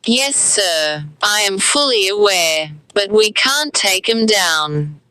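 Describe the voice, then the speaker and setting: A woman's voice: medium pitch, expressive, slow, sharp tone, no room sound, poor audio. A grave female military advisor acted by a woman in a homemade radio-style drama. She is dutiful and serious, speaking in a middle-register voice.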